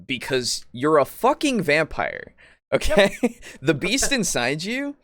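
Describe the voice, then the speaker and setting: A man co-hosting a podcast, talking casually about tabletop roleplaying in a halting, rambling way with frequent swearing. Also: on the high side, big pitch swings, a bit bright, no room sound, studio quality. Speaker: podcast co-host.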